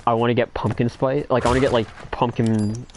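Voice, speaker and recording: A young male streamer with a thin, light voice that carries little bass, talking at an ordinary pitch into a desk mic. He chats excitably and casually as he plays, breaking into laughs often. A faint hiss sits under his voice. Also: fairly quick. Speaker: livestream host. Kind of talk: casual chat while playing a game.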